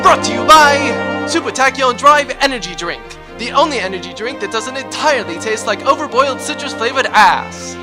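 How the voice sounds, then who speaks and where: Female serial announcer, high register, grandiose old-radio narration style, theatrical, over a clear music bed. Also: animated, normal speed.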